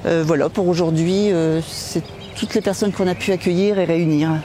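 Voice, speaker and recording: A man giving explanatory interview answers as a care home worker, in halting phrases, recorded outdoors in a garden. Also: high voice, steady tone, mellow. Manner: repeats 'voilà', halting phrasing. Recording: faint outdoor background noise. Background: faint outdoor ambience.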